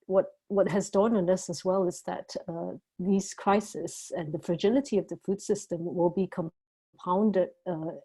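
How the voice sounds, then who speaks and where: Lady giving expert remarks on a panel, mellow lowish voice. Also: fairly even intonation, unhurried, breathy edge. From Malaysia. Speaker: NGO researcher, expert panel speaker. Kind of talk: prepared remarks in a panel discussion.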